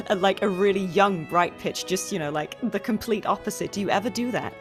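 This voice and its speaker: A woman hosting a casual interview, chatty and giggly, quick to agree with her guest. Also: medium pitch, music in the background. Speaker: interview host.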